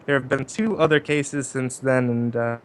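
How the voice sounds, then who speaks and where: A man acting a flustered, skeptical real estate agent in an old-time radio drama, talking casually with stammers and halting phrases. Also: medium pitch, steady tone, smooth, close to an everyday voice. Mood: earnest, grim.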